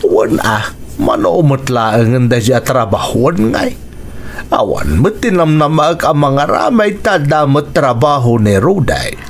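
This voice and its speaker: A man's voice: mid-range voice, very animated, slow pace, vocal fry, slight background noise, exaggerated voice. An actor playing a mild, hardworking elderly grandfather in a radio drama, explaining in a homely way with a northern Philippine accent. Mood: matter-of-fact.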